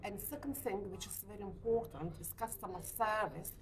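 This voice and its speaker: A woman in her forties from Lithuania, speaking English with a Lithuanian accent, answering interview questions hesitantly with many pauses. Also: mid-range voice. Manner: hesitant, frequent uh pauses, light laughs. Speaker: letting agency owner. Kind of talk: business interview. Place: hotel meeting room.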